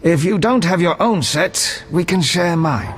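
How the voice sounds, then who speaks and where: A wry, polite elven prisoner in a fantasy game. He talks slowly in a high voice with big, languid swoops of pitch. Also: lively intonation. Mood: wry, wistful. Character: wry, courteous male elf prisoner awaiting hanging. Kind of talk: video game voice acting.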